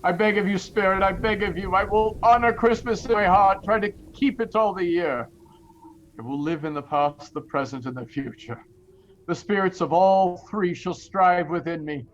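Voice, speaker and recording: Male actor as elderly repentant miser: high voice, halting theatrical delivery, audio drama with clear background noise. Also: highs cut off, lightly acted. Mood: desperate pleading. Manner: halting, stammering, fervent exclamations. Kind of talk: theatrical audio-play acting. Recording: noisy audio-play recording.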